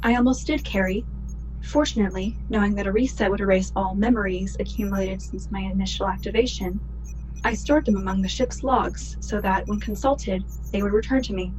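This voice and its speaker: A woman playing a maternal, formal starship artificial intelligence in a sci-fi radio play, speaking in precise, measured sentences. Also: medium pitch, a little mellow, close to an everyday voice, poor audio. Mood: apologetic, gentle. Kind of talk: science fiction radio play acting.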